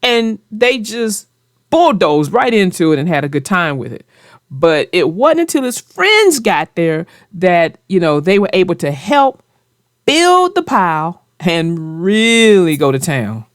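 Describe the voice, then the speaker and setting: A woman hosting a children's read-aloud show, chatting about a book with young readers in deliberate, emphatic phrases. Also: lowish voice, big pitch swings, dry recording, clean studio sound.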